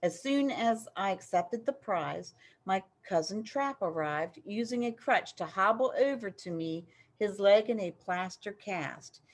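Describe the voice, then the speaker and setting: A woman reading a children's story aloud in an animated, dramatic way, with emphasis on key phrases. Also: mid-range voice, measured pace. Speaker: storybook reader.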